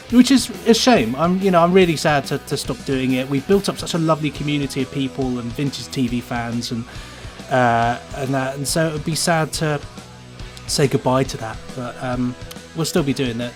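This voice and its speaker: A man speaks in a casual, conversational way as a guest on a podcast. His voice sits at a medium pitch and he rambles chattily through his thoughts, with some music behind him. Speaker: podcast guest, sound designer.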